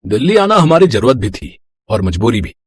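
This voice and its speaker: A man voicing a practical middle-aged father in a storybook tale, measured and reassuring, with a husky voice. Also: medium pitch, very animated, no room sound. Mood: reassuring, explaining. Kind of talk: animated Hindi moral story dialogue.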